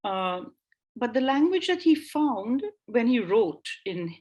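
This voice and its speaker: A woman giving an online lecture over a video call, thinking aloud with frequent pauses and restarts. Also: on the high side, very animated, a little mellow, dull audio. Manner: reads out an old text in a slower voice. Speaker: guest lecturer on language history.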